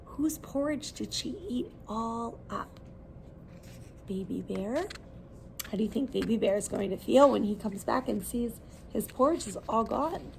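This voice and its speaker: A woman's voice: on the high side, measured pace, gravelly, slight background noise, rough recording. A woman reading a children's story aloud expressively, putting questions to her listeners as she goes.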